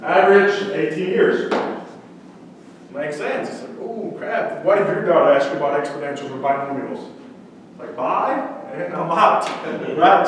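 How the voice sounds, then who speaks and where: A man from central Mexico whose first language is Spanish, giving a lively startup pitch and quizzing the audience. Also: distant mic, a little hiss or hum. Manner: conversational, quizzes the audience with questions. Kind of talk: energetic startup pitch talk. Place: event room with audience. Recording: echoey room, speaker away from mic.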